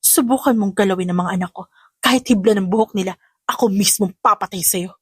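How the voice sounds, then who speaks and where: A woman's voice: medium pitch, fairly quick, slightly rough, no echo, clean studio sound, close to an everyday voice. A woman voicing a defiant, fiercely protective young mother, indignant, in an audio drama. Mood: angry defiance.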